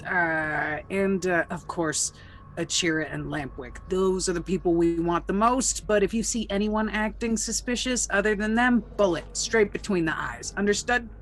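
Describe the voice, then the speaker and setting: Brisk woman player giving orders in character. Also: lowish voice, fairly bright tone, slight background noise. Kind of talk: in-character tabletop roleplay.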